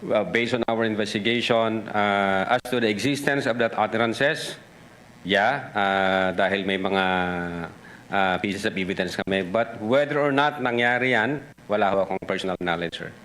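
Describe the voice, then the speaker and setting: A man with a Filipino accent giving formal testimony as an investigator, halting, deferential and careful to avoid direct answers. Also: on the low side, fairly bright tone. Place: hearing room. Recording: noisy hearing-room ambience.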